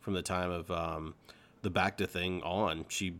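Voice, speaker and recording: Man, low-pitched voice, podcast co-host, casual rambling book talk, slangy. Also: level tone, a little slow, a bit bright. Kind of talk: casual book discussion among friends.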